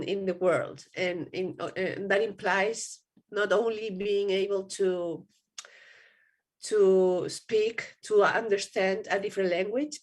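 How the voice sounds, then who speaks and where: Congested woman with a nasal voice. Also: mid-range voice. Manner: searching, repeats words. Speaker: panelist. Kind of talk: panel answer.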